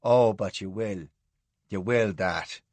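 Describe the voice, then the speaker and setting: A man voicing a sly, insolent Irish soldier with a soft, whiny brogue. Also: fairly low pitch, takes it slowly, no echo, pitched below the performer's own voice. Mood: defiant.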